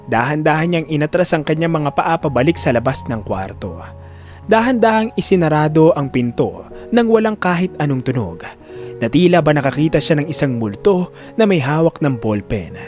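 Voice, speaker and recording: A man's voice: a little high, lively intonation, normal speed, a little mellow, faint music behind. A man narrating a scripted audio drama in a dramatic storytelling style.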